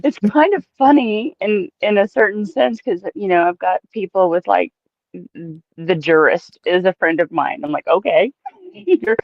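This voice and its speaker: A woman's voice: medium pitch, a little slow, no echo, highs cut off. A woman chatting casually as a livestream co-host, giggly and prone to repeating her phrases.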